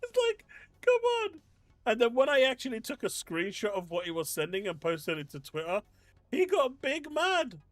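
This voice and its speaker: English male streamer with a high voice, incredulous and ranting at viewers, faint music playing under him. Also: huge pitch swings, normal speed, a bit bright, no echo. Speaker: streamer host reading live chat. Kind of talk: casual live-stream chat. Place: home desk setup.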